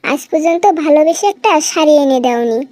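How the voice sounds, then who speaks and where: Very high-pitched nagging cartoon wife, played by a woman. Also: quick pace, darkish tone, no echo, close to an everyday voice. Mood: scolding. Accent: rural Bangladeshi. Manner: scolding, wailing, complaining. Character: nagging, aggrieved young wife in a comic cartoon. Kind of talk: melodramatic comic cartoon dialogue.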